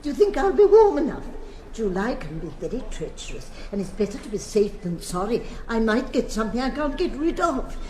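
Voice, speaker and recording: A woman's voice: fairly high pitch, very animated, fairly quick, warm tone. A woman with an English accent playing a fretful, apologetic, dithering school staff member in a stage comedy. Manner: fussy, dithering. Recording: roomy sound, faint noise.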